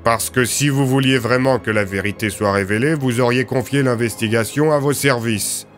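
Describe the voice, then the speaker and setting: A man's voice: mid-range voice, moderate pace, faint music behind, lightly acted. A man playing a stern lawman in an audio drama, dry, firm and formal, with a sarcastic, threatening edge. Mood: stern, warning.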